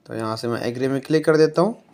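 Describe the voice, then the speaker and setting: A man calmly walking viewers through a phone reset step by step, often filling pauses with "jo hai". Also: medium pitch. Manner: unhurried, explanatory, fills pauses with "jo hai". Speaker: tech tutorial presenter.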